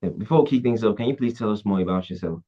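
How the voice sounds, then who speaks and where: Low-voiced host on a dull line. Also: male voice, racing, breathy edge. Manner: hesitant, restarting questions. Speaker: podcast host. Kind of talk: interview hosting. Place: Africa. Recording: dull lo-fi sound.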